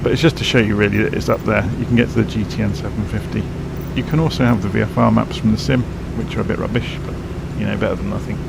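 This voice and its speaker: A man streaming a flight simulator, explaining cockpit instruments in a rambling, self-correcting way, his voice croaky and tired from a late night. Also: on the low side, steady tone, quick pace, hardly any pauses, heavy background noise. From England. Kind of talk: casual live-stream commentary.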